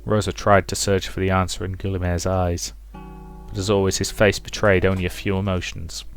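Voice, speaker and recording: A man's voice: low voice, level tone, moderate pace. A man reading a visual novel as a dramatic scripted narration, with music underneath. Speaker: let's play narrator.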